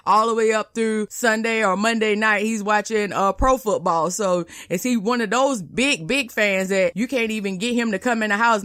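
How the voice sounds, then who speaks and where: A woman's voice: medium pitch, a bit bright, dry recording, clean studio sound. An American woman from Baltimore co-hosting a talk show, chatting casually and speaking directly to listeners in colloquial language. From Baltimore, Maryland, US. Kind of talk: casual chat.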